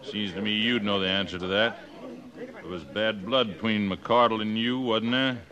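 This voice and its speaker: An actor playing a gruff, suspicious old West sheriff in a radio western, blunt and commanding, with an American Western accent. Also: male voice, on the low side, moderate pace, highs cut off, a crowd in the background, natural voice.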